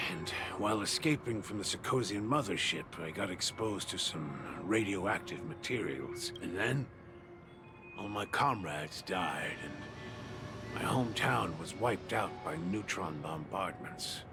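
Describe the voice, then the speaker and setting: A man's voice: on the low side, animated, unhurried, music in the background. A man playing a courteous, wistful war survivor in a video game, speaking earnestly and deferentially. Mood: sorrowful. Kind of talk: scripted game voice acting.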